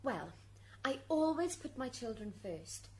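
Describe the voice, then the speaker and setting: Woman playing high-voiced, aggrieved mother on trial. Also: big pitch swings, a little slow, slight background noise, full character voice, rough recording. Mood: defensive. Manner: aggrieved, defensive. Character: indignant, self-defending dead housewife and mother on trial. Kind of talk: radio drama acting.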